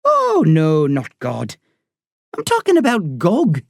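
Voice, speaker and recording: A reader voicing a blunt but kind woman doctor with an Irish accent, earthy and warm in her talk. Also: medium pitch, huge pitch swings, slow, creaky, dry recording, clean studio sound, pitched above the performer's own voice, full character voice. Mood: wry. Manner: salty, earthy talk said with warmth. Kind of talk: audiobook character reading.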